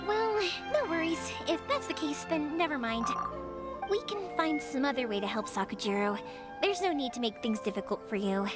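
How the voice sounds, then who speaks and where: A lively, high-pitched fireworks girl. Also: normal speed. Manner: bright and friendly, earnest. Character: young fireworks-girl, cheerful, eager to help. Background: game music.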